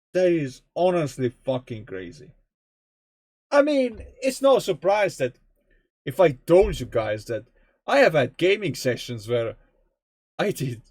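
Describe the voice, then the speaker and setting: A young man muses aloud at an unhurried pace, his pitch swinging widely from line to line. He talks in a loose, chatty way and swears casually. The recording is dry and close, with no room sound. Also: a little mellow, dry recording. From Estonia. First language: Estonian. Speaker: streamer. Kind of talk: rambling gameplay commentary.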